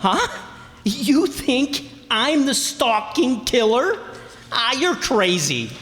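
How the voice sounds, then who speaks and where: A man's voice: extremely high pitch, very animated, slow pace, fairly bright tone, full character voice. A man acting as a desperate, defiant cornered suspect in an old-time radio mystery, agitated and defensive. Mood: indignant, baffled. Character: desperate, defiant cornered male murder suspect, broke and indignant. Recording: echoey hall stage recording.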